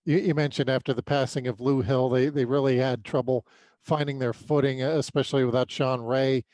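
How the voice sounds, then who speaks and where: A man co-hosting a sports podcast, giving conversational, unscripted game recaps and analysis with some halting, repeated words. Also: medium pitch, fairly even intonation, a little mellow, no room sound.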